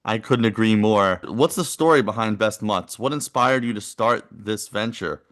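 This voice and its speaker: A man hosting a podcast interview, who asks short, quick follow-up questions. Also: lowish voice, a bit bright. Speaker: podcast host. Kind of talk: casual interview.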